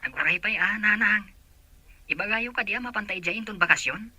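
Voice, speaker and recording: Earnest grown child seeking a twin, Ilocano accent, acted, husky and bright, radio drama. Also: mid-range voice, normal speed, no room sound. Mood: curious.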